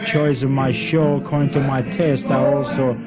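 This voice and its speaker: A man from Italy with an Italian accent, speaking in halting English as a folk club owner in a radio interview. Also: medium pitch, fairly even intonation. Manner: halting, broken English phrasing. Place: busy folk club at night. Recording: noisy club tape with music and crowd. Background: live music and crowd chatter.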